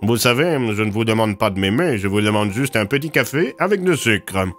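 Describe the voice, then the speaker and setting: A man's voice: on the low side, animated, fairly quick, fairly bright tone, soft background music. A man voicing a controlled, polite, subtly menacing government agent in an audio drama. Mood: coolly polite. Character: smooth, coolly menacing government agent in a suit. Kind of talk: audio drama character dialogue.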